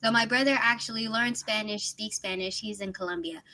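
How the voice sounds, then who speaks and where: A woman's voice: mid-range voice, brisk, sharp tone, rough recording. A woman tutoring over a video call in a casual, chatty way, laughing often and filling with "like" and "you know". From Miami, USA. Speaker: English tutor.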